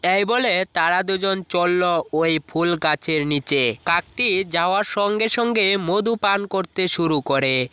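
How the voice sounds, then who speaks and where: A woman's voice: lowish voice, pushed and bright. A woman narrating a scripted children's cartoon story as the storyteller. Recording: music under the voice.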